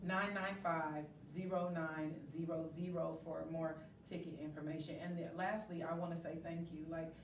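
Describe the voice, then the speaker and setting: A woman with a fairly low voice speaks effusively and informally as an interview guest. She is heard from a little distance in an echoey room. Clear noise sits behind her. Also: little pitch movement, moderate pace, hardly any pauses. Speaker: interview guest, stage playwright. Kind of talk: announcements and thanks in live interview. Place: indoor venue before a studio audience. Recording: echoey room, distant mic, noisy background.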